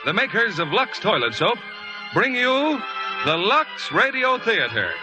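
A man's voice: high-pitched, big pitch swings, measured pace. A man announcing a 1940s radio show in a scripted, showy introduction. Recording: old radio broadcast, loud music behind.

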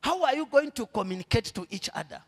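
A middle-aged Zambian man preaching a sermon on a church stage into a handheld mic. He asks the congregation to finish his sentences and swings between quiet murmurs and loud, drawn-out proclamations. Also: very high-pitched, sing-song, vocal fry, husky, in a large hall. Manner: preaching with call-and-response questions to the congregation. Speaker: pastor.

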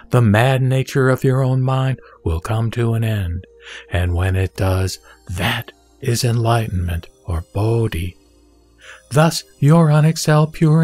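A man gives a scripted, dramatic reading of scripture in a measured, solemn, instructive manner. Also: medium pitch, a little mellow. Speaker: narrator and reader of scripture. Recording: background music behind the voice.

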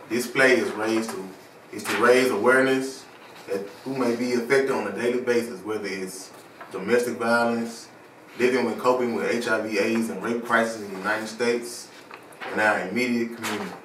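A man's voice: mid-range voice, steady tone, moderate pace, fairly bright tone. A young adult man, an actor giving a rehearsed appeal for a stage play. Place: indoor living room. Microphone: distant camera microphone. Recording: roomy, distant, noticeable background noise.

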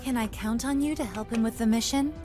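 An actress playing a caring mother on a space expedition in an animated film, speaking gently and coaxingly. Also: on the high side, brisk, lightly acted. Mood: gentle, coaxing. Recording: music behind the voice.

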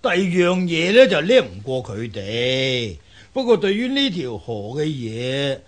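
A folksy river know-all in a radio drama. His husky voice swings widely in pitch and takes its time as he explains things with amused confidence and plenty of interjections. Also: big pitch swings, unhurried. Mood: amused, boastful. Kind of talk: Cantonese radio drama acting.

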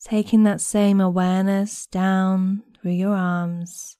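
Slow, mellow, soothing woman meditation guide. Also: mid-range voice, little pitch movement, dry recording, studio quality. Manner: gentle, soothing, unhurried with long pauses. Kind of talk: guided body scan meditation narration.

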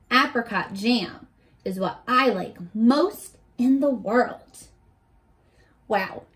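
A woman in her twenties reading a picture book aloud to young children as a teacher, expressively, pausing to talk to her listeners. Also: on the high side. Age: 20s. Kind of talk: children's read-aloud with simple questions to listeners. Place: small furnished bedroom, indoors.

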